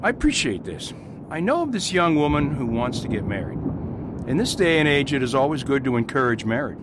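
A man playing a calm, courteous priest detective who speaks in a measured, polite and persistent way, in an amateur radio drama. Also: medium pitch, lightly acted. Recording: noisy recording with steady hiss.

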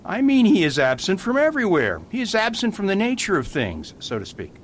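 A gentle, whimsical priest detective voiced by a man in a radio play. His voice rises and falls in lively swings and sits a little high. A faint rumble lies under the recording. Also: brisk. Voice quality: mild, patient, whimsical. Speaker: Father Brown. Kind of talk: amateur radio drama acting.

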